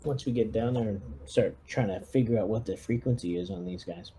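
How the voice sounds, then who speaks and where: A man's voice: on the low side, fairly quick, warm tone. A man playing a tabletop roleplaying game, talking casually through ideas and thinking aloud with hesitations. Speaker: tabletop player playing a military lieutenant.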